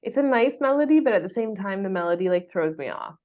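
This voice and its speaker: A woman's voice: medium pitch, expressive, fast talker, darkish tone, muffled recording. A woman with a West Coast American accent hosting a podcast, chatting casually with lots of fillers and frequent laughter. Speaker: podcast host. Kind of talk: casual chat.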